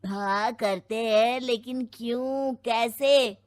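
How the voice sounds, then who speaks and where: A woman's voice: fairly high pitch, takes it slowly, no room sound, clean studio sound. A woman playing an opinionated young character in a cartoon story, arguing and explaining a point. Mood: argumentative. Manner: argumentative, explanatory. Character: opinionated young person arguing for daughters' fair treatment.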